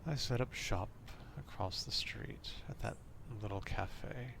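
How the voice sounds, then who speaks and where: A man playing an obsessive, old-fashioned vampire stalker in an ASMR roleplay, speaking formally in a hushed half-whisper, close to the microphone. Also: on the low side, noisy background. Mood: obsessive, calm. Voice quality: hushed, breathy half-whisper with gravelly fry. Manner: slow, deliberate, formal archaic wording.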